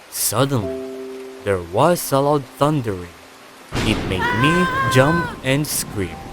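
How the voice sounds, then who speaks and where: A man narrating an audiobook story in a dramatic, measured way with pauses. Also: mid-range voice. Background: music.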